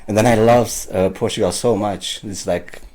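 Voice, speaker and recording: A man from Turkey, raised in the Netherlands, with a very low, deep voice. He talks as a guest in an interview and speaks his third language with halting pauses. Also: fairly even intonation, a little mellow. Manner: hesitant, searching for words in a third language. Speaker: guest musician. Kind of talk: casual interview.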